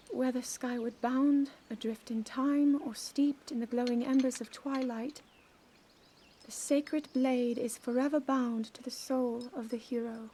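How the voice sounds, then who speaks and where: An actress playing an earnest young princess, speaking in a formal, measured way. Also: female voice, high-pitched, mellow. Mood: solemn. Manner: formal, measured ceremonial speech. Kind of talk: video game cutscene acting.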